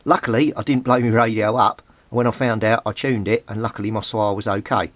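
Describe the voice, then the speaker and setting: A man's voice: lowish voice, fairly even intonation, a little fast, dry recording. British man giving an informal hands-on tutorial. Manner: explanatory, repeats key points step by step. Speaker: CB radio hobbyist giving a tutorial. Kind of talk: informal hands-on instructional talk.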